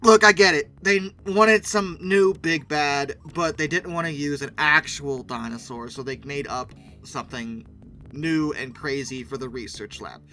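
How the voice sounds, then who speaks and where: Bright, high-voiced man doing sarcastic commentary. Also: a little slow, faint music behind. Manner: sarcastic, opinionated, emphatic. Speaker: YouTube commentator and host. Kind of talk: casual video commentary.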